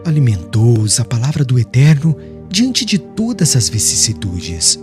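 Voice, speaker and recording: A man's voice: mid-range voice, wildly swooping pitch, measured pace, music in the background. A man voicing a serene, devout young preacher in an audiobook, eloquent and formal, declaiming with solemn firmness. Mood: solemn exhortation.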